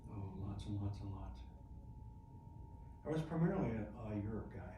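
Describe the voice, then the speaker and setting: A man's voice: on the low side, animated, normal speed, mellow. Man, middle-aged, chatty storyteller, roomy echo, background noise. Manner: chatty, laughs often, restarts mid-phrase. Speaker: interviewee, military veteran. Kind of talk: casual storytelling interview. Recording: echoey room, distant mic, noisy.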